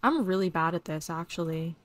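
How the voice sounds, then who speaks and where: Young woman, hoarse from losing her voice, excitable casual gaming chatter, desk mic at home. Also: fairly low pitch, a little fast. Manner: chatty, excitable, lots of "like" and "literally". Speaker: gaming YouTuber. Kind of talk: casual gameplay commentary.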